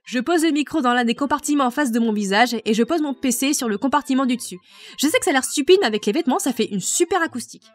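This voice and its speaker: Woman, chatty, self-mocking, fairly high voice. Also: lively intonation, fast. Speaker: fandub voice actress. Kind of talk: casual recorded answer.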